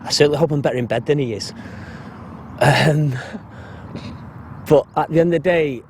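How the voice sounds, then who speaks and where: A man's voice: on the high side, moderate pace, darkish tone, slight background noise. A man in his twenties with a Mancunian accent, an actor telling joking, rambling anecdotes in a casual interview. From Salford, Manchester. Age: 20s.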